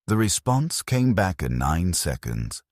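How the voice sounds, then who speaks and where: A man narrating a first-person story in a grave, measured, detached way. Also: sits low, big pitch swings, no room sound, clean studio sound.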